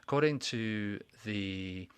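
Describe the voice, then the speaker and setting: A man with a fairly low voice delivers formal welcoming remarks at a conference. He speaks slowly and deliberately, pausing and restarting as he goes, in a dry sound with little room echo. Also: clean tone. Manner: measured, formal address with pauses and restarts. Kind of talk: interpreted welcoming speech. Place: conference hall.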